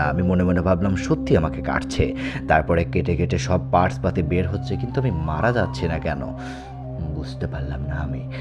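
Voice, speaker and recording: A man hosting a horror show and narrating first-person ghost stories dramatically. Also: deep voice. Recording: music bed under the voice. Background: background music.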